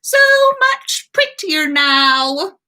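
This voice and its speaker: A woman's voice: sits high, sing-song, drawn-out words, bright, forceful tone, pitched above the performer's own voice, full character voice. A woman voicing a mischievous male poltergeist who taunts in a mocking singsong during a story reading.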